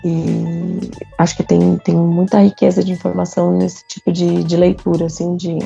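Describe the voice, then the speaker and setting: Hoarse woman, flat and halting, casual podcast chat, faint music behind. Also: on the low side. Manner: halting, many pauses and fillers. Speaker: architect. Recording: dull lo-fi sound with faint music.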